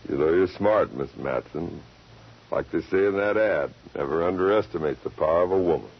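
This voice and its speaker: An actor playing a folksy, laid-back singing cowboy in a 1940s radio drama. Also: male voice, sits low, brisk. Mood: resigned. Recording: muffled 1940s radio recording.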